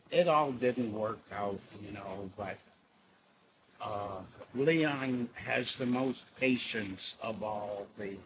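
A man speaks slowly in a hesitant, rambling way, often stopping to search for words. Steady noise runs clearly behind his voice. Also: mid-range voice. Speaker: carver. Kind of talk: documentary interview.

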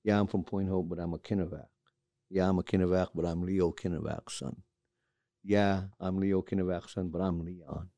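Low gravelly man, reflective and halting. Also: steady tone, warm tone, no echo, dull audio. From Alaska. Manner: reflective, halting with many fillers. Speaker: carver and studio owner. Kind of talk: documentary interview.

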